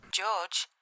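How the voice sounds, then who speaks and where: An actress playing a worried middle-aged wife in an audio drama, affectionate, concerned and insistent. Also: female voice, bright, forceful tone, no room sound. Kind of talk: naturalistic audio drama acting.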